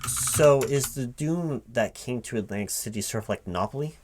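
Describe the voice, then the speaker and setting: A man's voice: medium pitch, expressive, normal speed, noisy background, natural voice. A man playing a flustered but polite recruiting manager in a one-sided ASMR interview roleplay, hesitating, repeating words and asking puzzled questions. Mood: bemused, questioning. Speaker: job interviewer. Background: computer keyboard typing.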